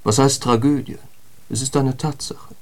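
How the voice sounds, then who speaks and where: Actor doing a cynical philosopher type guy in a radio drama, medium pitch, declaims everything emphatically. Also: fairly even intonation, moderate pace, a little mellow, lightly acted. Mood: sardonic, resigned. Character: cynical, philosophizing European intellectual man. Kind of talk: literary radio drama dialogue.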